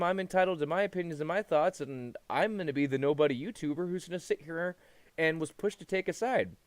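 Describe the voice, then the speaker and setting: A man's voice: sits high, clean tone, dry recording. A man giving unscripted, opinionated commentary as a YouTuber, rambling, repeating himself and swearing. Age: adult past his teens. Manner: rambling, repetitive, profane.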